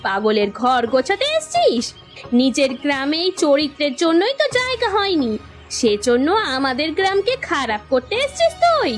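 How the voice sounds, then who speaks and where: A haughty village woman from a cartoon, voiced by a woman. Her pitch is very high and swings widely. She is bossy and moralising, quick to scold, over faint music. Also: wildly swooping pitch. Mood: angry scolding. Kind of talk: cartoon voice acting.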